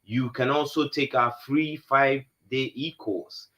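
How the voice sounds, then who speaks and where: A man from Ghana co-hosting a live Q&A stream, answering viewers softly and haltingly with many fillers. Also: mid-range voice, steady tone. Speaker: co-host.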